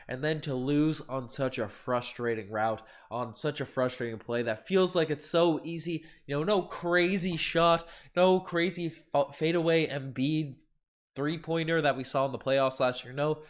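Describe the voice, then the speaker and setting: A stuffed-up man with a cold hosting a casual post-game reaction stream, rambling with frequent restarts. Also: medium pitch. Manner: rambling fan talk with many restarts, swings loud and soft. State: congested with a cold. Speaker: sports podcast host.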